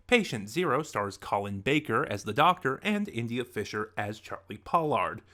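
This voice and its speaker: A man hosting an unscripted review channel, talking in a chatty, animated way with rambling asides. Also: mid-range voice, moderate pace. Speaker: podcast reviewer.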